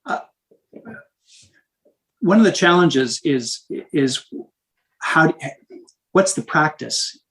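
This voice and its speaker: A man who is a minister and saxophonist, talking in an interview in a halting way, restarting often as he searches for words. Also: fairly high pitch, lively intonation, a bit bright, low-quality recording. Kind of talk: casual interview conversation.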